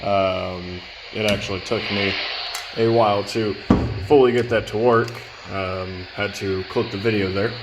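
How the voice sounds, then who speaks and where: A man with a fairly low voice presents a hands-on gear review. He talks casually and explains in plain terms. Some background noise sits faintly behind his voice. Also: fairly even intonation, a little slow. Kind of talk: casual product demonstration.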